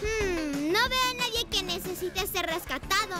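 A performer voicing an excitable, playful young motorcycle in a children's cartoon, gushing and exclaiming. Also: extremely high pitch, a little slow. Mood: excited, playful. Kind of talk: animated children's show dubbing. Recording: loud children's music behind.

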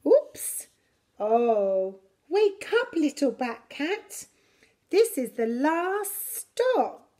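Lady doing story time for little kids, talks really slowly, kinda high voice, expressive. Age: middle-aged. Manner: slow, deliberate, expressive delivery for little children. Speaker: preschool story reader. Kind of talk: picture-book reading for young children. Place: preschool classroom, indoors. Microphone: camera a short distance away.

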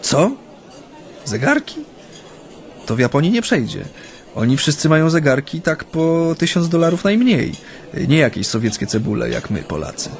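An actor in a radio play playing a former union activist who recounts his memories in a hesitant, halting way. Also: a little high, big pitch swings, vocal fry. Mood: amused recollection. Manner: hesitant, halting, frequent drawn-out hums. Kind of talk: radio play acting, interview-like recollection.

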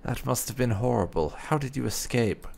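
A man with a gravelly voice giving casual playthrough commentary with some light roleplay. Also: mid-range voice, normal speed, darkish tone, a little hiss or hum. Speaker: gamer playing and commentating.

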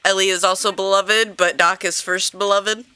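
A woman's voice: medium pitch, fairly even intonation, normal speed, pushed and bright, clear, resonant tone, no room sound. A woman streaming a game, chatting casually with friends and laughing often between lines. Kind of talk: casual gaming chat. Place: home streaming setup.

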